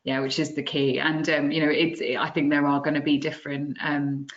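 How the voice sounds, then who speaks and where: A woman moderates a webinar, halting and filler-heavy as she hands out questions. Her voice sits low, and the video call audio sounds muffled. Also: little pitch movement. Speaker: webinar host.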